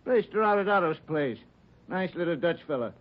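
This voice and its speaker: A man's voice: fairly high pitch, expressive, moderate pace, lightly acted. Man playing a glib, evasive union steward. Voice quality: breezy, glib. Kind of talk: 1940s radio acting. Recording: dull 1940s radio recording.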